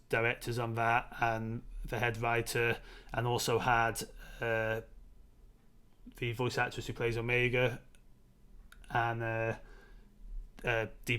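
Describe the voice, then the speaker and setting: A man chats casually as co-host of a film show. His talk wanders like an enthusiastic fan's, at a middling pitch and in an informal style. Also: bright, forceful tone, smooth.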